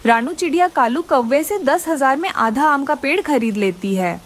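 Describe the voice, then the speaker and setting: A woman narrates a children's story in a high voice with lively movement in pitch. Her delivery is scripted storytelling for animation. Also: moderate pace.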